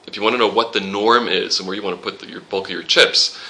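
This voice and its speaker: Bright-voiced man teaching a Bible class. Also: on the low side, fairly even intonation, fast, low-quality recording. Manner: conversational, quotes scripture, jokes with the class.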